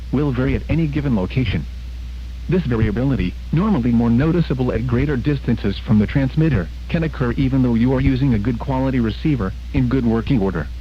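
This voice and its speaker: A man reading a scripted public announcement as a weather radio announcer, heard over broadcast radio. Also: mid-range voice. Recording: dull radio audio with hum, noise.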